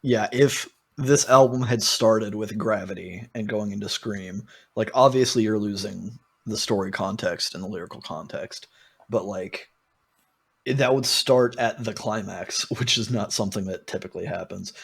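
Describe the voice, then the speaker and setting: A man's voice: fairly low pitch, moderate pace, creaky, slightly rough. A man co-hosting a podcast, talking casually about music and thinking aloud as he qualifies his points. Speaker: podcast co-host.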